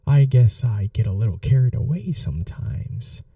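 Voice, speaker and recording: A man playing a gentle, old-world vampire gentleman in an intimate ASMR roleplay, speaking in a polite, soothing, softly formal way. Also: medium pitch, very animated, moderate pace, creaky, no echo, studio quality, far from the mic. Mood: earnest, wistful.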